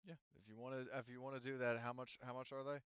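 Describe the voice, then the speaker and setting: A man's voice: medium pitch, flat delivery, fast, a bit bright, clear, resonant tone, no room sound, dull audio. A man running a tabletop game as game master, explaining things casually and informally.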